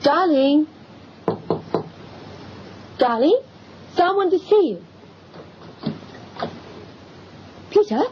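Worried proper wife in an old radio play, high fretful voice, faint hiss and dull sound. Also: female voice, animated, takes it slowly. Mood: flustered. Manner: genteel, fretful, hesitant. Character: anxious, genteel wife and mother fearing for her husband. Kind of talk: old-time radio drama acting. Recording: muffled, noisy old radio drama recording.

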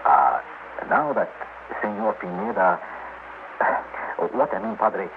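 Wildly expressive humble peasant husband, old radio. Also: male voice, low-pitched, slow pace, phone-quality sound, heavily acted. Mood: hesitant. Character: humble, anxious Mexican peasant husband, easily cowed. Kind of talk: old-time radio drama acting. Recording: noisy, phone-thin old radio broadcast.

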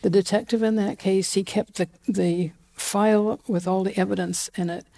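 A woman's voice: mid-range voice, moderate pace, gravelly. A middle-aged woman from New Zealand with a Kiwi accent, a guest talking casually in an interview, with loose fillers and restarts. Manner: relaxed, frequent fillers and restarts, light laughs. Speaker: guest, genetic genealogist.

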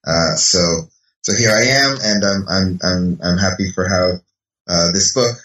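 A man's voice: sits low, measured pace, bright, forceful tone. A middle-aged man from Canada, a philosophy professor answering interview questions in a hesitant way, with many fillers and restarts. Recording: echoey, distant from the mic.

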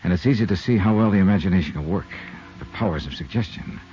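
A man plays a hard-boiled investigator in an old radio drama. His lowish voice is wry, shrewd and deliberate in its delivery, on a muffled recording with music behind. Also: mellow, natural voice. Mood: dramatic, measured. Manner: measured, dry, hard-boiled. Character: shrewd, wry male insurance investigator narrating his case. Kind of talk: 1950s radio drama narration.

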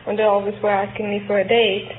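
A woman's voice: mid-range voice, monotone, quick pace. A young woman with an Austrian accent and native German, chatting as a quiz show contestant. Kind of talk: chatty interview answers. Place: studio stage before live audience. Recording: tinny old radio, hiss, audience.

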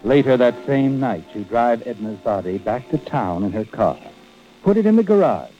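A man's voice: mid-range voice, big pitch swings, normal speed, lightly acted. An actor playing a sly, knowing narrator of a vintage radio suspense show, insinuating as he addresses a guilty man. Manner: insinuating, taunting rhetorical questions. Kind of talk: 1940s-50s radio suspense narration in second person. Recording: dull vintage radio recording with music.

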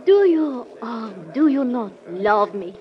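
A woman's voice: on the high side, very animated, slow. A woman playing a romantic, theatrical young actress with a French accent in an old radio drama. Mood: pleading, wistful. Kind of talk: 1940s radio drama acting. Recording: dull old radio recording, faint music.

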